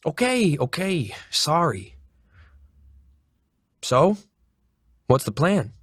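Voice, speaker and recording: A man's voice: fairly high pitch, sing-song, very slow, fairly bright tone, breathy edge, no echo, clean studio sound, exaggerated voice. A man acting as a blunt, scornful, needling crony of a crooked mayor in an audio drama. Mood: grumbling.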